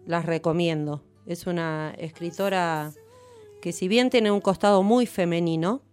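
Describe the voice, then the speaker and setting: A woman's voice: fairly low pitch, smooth. Woman on a radio show. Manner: relaxed, with fillers, speaking warmly of a novel. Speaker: radio host. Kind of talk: casual radio chat about books.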